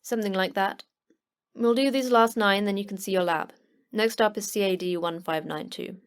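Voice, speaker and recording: An actress playing a terse, unflappable manager of a secret facility in an audio drama, with a brisk, dry delivery. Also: female voice, mid-range voice, clean tone, natural voice. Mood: dry, deadpan.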